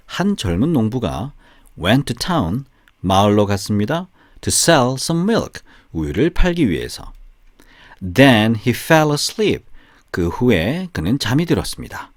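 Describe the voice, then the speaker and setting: A man narrating a scripted bilingual fairy tale for language learners, deliberately, phrase by phrase, in a storytelling delivery. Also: mid-range voice, dry recording, studio quality. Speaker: audiobook narrator.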